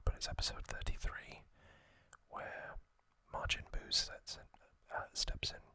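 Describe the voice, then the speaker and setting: A man whispers as an ASMR host, close on the microphone. His whisper is bright and sibilant, delivered very slowly. He speaks gently, leaving frequent pauses between short phrases. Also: no echo. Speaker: host of an ASMR trivia channel.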